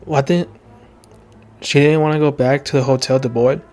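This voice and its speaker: A man giving casual, slangy reaction commentary as a game streamer, in a halting way. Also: mid-range voice, fairly even intonation, darkish tone.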